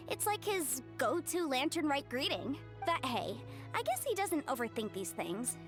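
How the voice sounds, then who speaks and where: Carefree, sunny young female game character; high and animated. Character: carefree, cheerful young woman on holiday, close to family. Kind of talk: video game voice acting.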